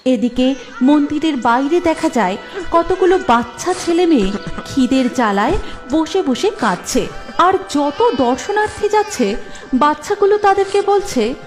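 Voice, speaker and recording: A woman narrating a cartoon story in an even storytelling manner. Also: high voice, a little slow, soft background music.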